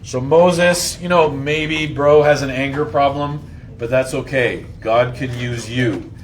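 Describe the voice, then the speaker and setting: A man leading an informal Bible lesson, putting questions to his listeners in a slangy, jokey way and acting out lines loudly. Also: mid-range voice, steady tone, slow pace. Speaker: Bible study host and teacher. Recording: voice over clear background noise.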